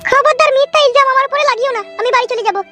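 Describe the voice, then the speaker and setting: Woman doing squeaky high cartoon mother in law from the village, preachy n scolding, talks super fast. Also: over music. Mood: indignant. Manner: scolding, insistent, lecturing. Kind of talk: Bengali cartoon acting.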